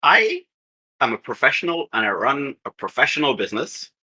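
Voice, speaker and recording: A man's voice: medium pitch, very animated, normal speed, bright, forceful tone, slightly rough, full character voice. A man playing a stern, haughty fight-business boss in a tabletop game, formal and sardonic.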